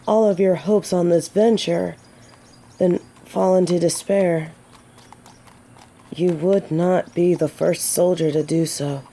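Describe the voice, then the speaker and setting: Woman voicing a female knight, honorable and coy, soft mellow tone, fairly low pitch, courtly old-fashioned wording, romantic roleplay drama. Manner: formal old-fashioned fantasy speech, coy and gently teasing.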